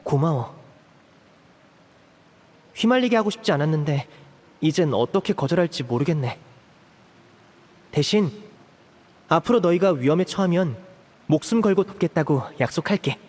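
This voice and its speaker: An actor playing a protective, earnest young man in a video game, speaking in a measured, resolute way. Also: a little high, animated, darkish tone, big-room sound. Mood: composed. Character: protective, earnest young elder brother figure, raised an orphan.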